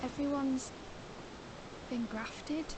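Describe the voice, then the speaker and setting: High-voiced, haunted fantasy woman. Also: fairly even intonation, drawn-out words, background noise. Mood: despairing. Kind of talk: fantasy video game character acting.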